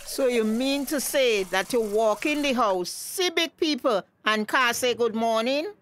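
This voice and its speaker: A village mother with a Tobagonian accent and a high voice. She is stern and suspicious, speaking with indignant emphasis in radio drama style. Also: expressive, measured pace, slight background noise. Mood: scolding.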